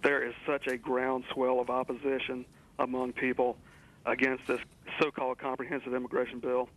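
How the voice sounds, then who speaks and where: Even-toned emphatic male phone-in caller. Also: medium pitch, moderate pace. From United States. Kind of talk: earnest opinion on a call-in. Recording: voice over phone line to broadcast.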